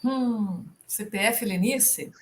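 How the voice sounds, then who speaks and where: A woman's voice: a little high, slow. Female guest, middle-aged, storytelling professor. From Rio Negrinho, southern Brazil. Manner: warm storytelling, frequent "né?" tags. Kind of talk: casual interview chat.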